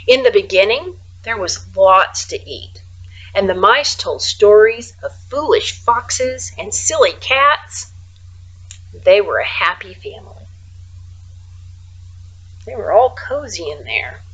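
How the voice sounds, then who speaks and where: A woman reading a children's storybook aloud like a teacher, expressively, with casual, hushed asides. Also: medium pitch, very animated, takes it slowly, pauses often, slightly rough, rough recording.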